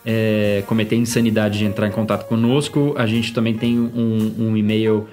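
A man's voice: fairly low pitch, little pitch movement, moderate pace, a little mellow, faint music behind. A Brazilian man with a São Paulo accent, co-hosting a casual podcast with a hesitant, self-deprecating manner and many restarts. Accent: São Paulo Portuguese. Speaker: podcast co-host. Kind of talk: casual chat.

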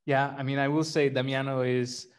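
A man's voice: mid-range voice, level tone, moderate pace, smooth. A middle-aged man from Sri Lanka co-hosting a casual podcast, self-deprecating and wry, with many fillers. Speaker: startup co-founder, podcast host.

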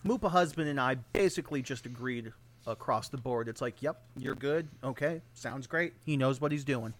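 A man's voice: mid-range voice, normal speed. A man co-hosting a casual podcast, offering dry, opinionated takes and teasing his co-hosts. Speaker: podcast co-host.

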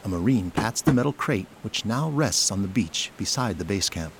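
A man reading scripted narration for an audio drama in a measured, descriptive storytelling manner. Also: lowish voice, lively intonation, creaky, a little hiss or hum. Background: prominent music.

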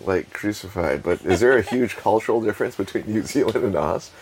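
A man hosting a podcast, asking loose, rambling questions full of fillers and quick agreement. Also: on the low side, animated, very noisy. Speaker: podcast host. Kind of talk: casual interview chat.

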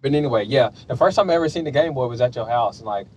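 A man's voice: mid-range voice, animated, very fast, a little mellow, slight background noise. A man in his late twenties hosting a podcast in casual conversation. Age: late 20s. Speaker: podcast host. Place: podcast studio.

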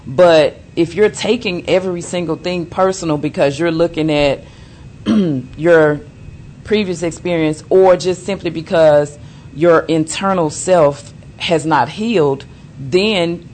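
A woman co-hosting a podcast, speaking in a measured, deliberate way. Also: sits low, darkish tone, a little hiss or hum. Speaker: co-host. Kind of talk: casual podcast discussion.

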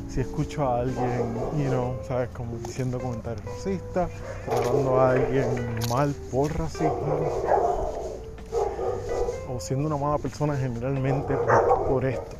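A man hosting a casual solo podcast, talking bluntly and swearing freely, with frequent restarts. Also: mid-range voice. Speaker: podcast host. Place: United States.

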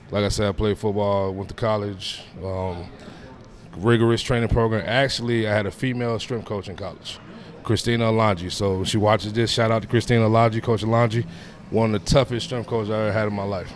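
A man in his thirties talking casually as a personal trainer being interviewed, informal, often dropping in "man" and "you know". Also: on the low side. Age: 30s. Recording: clear steady background noise.

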